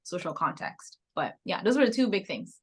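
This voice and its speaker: Woman, 30s, Cameroonian-English accent with an American lean, lively reflective guest. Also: mid-range voice, normal speed, slightly rough. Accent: Cameroonian English, softened toward American. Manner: reflective, animated storytelling with frequent laughs. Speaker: podcast guest. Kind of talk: casual interview conversation.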